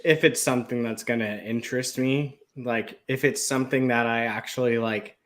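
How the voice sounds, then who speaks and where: A man chatting casually as a panelist on a talk show, in a halting, conversational way with trailing asides. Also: medium pitch, steady tone, fairly bright tone. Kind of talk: casual chat.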